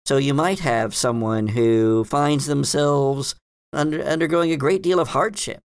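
A man voicing a cryptic, hesitant librarian woman who explains hidden lore in a halting, musing way in a tabletop game. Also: mid-range voice, clean tone, no echo, clean studio sound, voice pushed up, lightly acted. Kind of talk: improvised tabletop roleplay.

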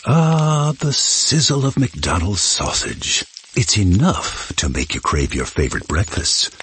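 A man's voice: lowish voice, huge pitch swings, unhurried, a bit bright, a little hiss or hum. An American man reading a scripted commercial voice-over. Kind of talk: scripted ad read.

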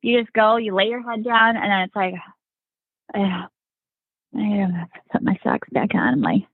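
A woman's voice: mid-range voice, expressive, normal speed, husky, dry recording. A woman, a Navy veteran guest on a podcast, telling stories in a rambling casual way, full of "like" and "right?". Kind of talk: casual storytelling chat. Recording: tinny phone-like remote line.

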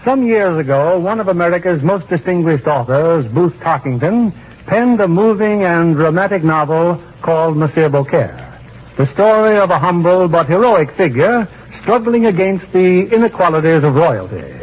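A man's voice: high-pitched, lively intonation, normal speed, a little mellow, slightly rough. A man hosting and narrating a 1940s radio show in a formal, showmanlike scripted style. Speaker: producer and host narrator. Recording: muffled 1940s radio broadcast with music.

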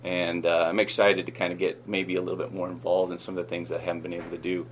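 Deep-voiced football coach, halting speech. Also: male voice, little pitch movement, muffled recording, a little hiss or hum. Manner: halting, many fillers and restarts. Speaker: head coach and general manager. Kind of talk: interview clip.

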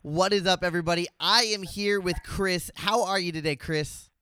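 A man's voice: high voice, moderate pace, bright, forceful tone, smooth, no room sound, soft background music. A man hosting a podcast, upbeat and gushing with praise. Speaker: podcast host.

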